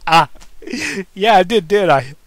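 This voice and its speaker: A man's voice: sits high, animated, slow, no room sound, pitched above the performer's own voice. A man voicing a big, tall masked man, smug and sarcastic, coaxing his partner in an amateur story reading. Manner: sarcastic, cooing, suggestive.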